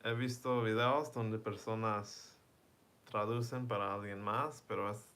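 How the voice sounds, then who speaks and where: Man, slow pace, lowish voice, casual stream chat, bilingual. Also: fairly even intonation. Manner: reads chat aloud, self-translates between English and Spanish; laughs softly between lines. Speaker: livestream host.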